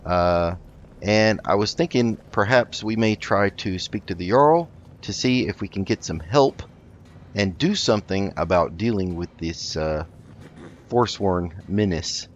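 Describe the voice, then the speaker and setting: Man, deep voice, hesitant and courteous, in-character let's play, muffled recording with background noise. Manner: hesitant, polite, halting. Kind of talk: improvised in-character roleplay while playing a game. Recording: dull lo-fi mic with noticeable noise.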